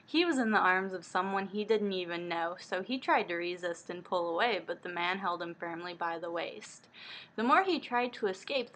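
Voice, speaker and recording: A woman with a bright, forward voice reads a book aloud as if it were high drama. Her manner is mocking and exasperated, and she is free with swearing. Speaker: host reading a book aloud. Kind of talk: mock-dramatic reading with blunt asides.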